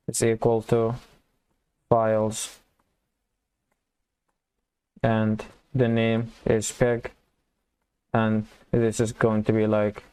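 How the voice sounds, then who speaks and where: Male tutorial instructor, informal and halting, with a lowish, very even delivery for step-by-step screen walkthroughs. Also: smooth. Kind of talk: casual screen-recorded coding walkthrough, thinking aloud.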